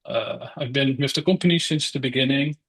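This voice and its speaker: A man from the Netherlands, a native Dutch speaker, introduces himself in a matter-of-fact manner. His voice sits at an average pitch for a man. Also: a little fast, fairly bright tone, husky. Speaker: software engineer. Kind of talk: casual chat on video call.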